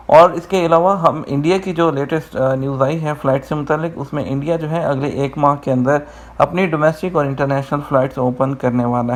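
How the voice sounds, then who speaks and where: Higher-pitched man presenting news. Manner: explanatory, addresses viewers directly. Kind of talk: informal explanatory news update.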